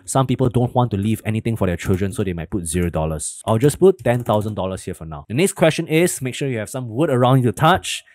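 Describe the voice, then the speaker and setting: Young man, fast conversational tutorial voice, lowish pitch, dry close mic. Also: expressive, a little mellow, dry recording. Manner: explains step by step, quick and conversational with tag questions. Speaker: finance educator. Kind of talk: screen walkthrough tutorial.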